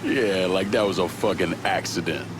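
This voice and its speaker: An actor playing a laconic, wary young American war veteran in a video game, speaking in dry, casual slang. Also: male voice, low voice, moderate pace, lightly acted. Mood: dry, teasing. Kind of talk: acted game dialogue, casual banter. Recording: car engine noise behind. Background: moving car ambience.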